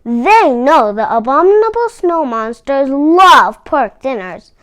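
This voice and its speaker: A woman storyteller reading a children's storybook aloud with expressive, emphatic phrasing. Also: sits high, no room sound.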